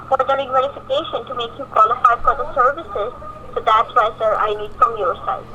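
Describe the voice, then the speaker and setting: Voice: medium pitch, steady tone, fairly quick. A call centre agent speaking over the telephone in a formal, insistent, scripted way. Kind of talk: scripted phone sales pressure. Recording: noisy telephone line.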